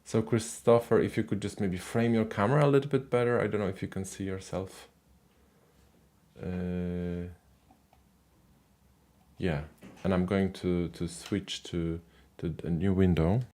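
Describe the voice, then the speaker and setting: A man hosting a live call-in language show, casual and halting, with many fillers and restarts. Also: deep voice, steady tone, darkish tone.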